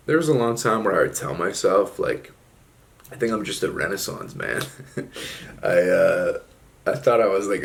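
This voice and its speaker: Deep gravelly man musing casually. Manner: laid-back, drawling, frequent fillers like "man" and "like". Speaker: solo video host. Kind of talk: casual reflective monologue.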